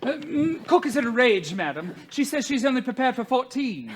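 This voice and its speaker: An actress playing a worried, deferential household servant in a stage comedy, speaking hesitantly. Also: female voice, high-pitched, sing-song, moderate pace, slightly rough, exaggerated voice.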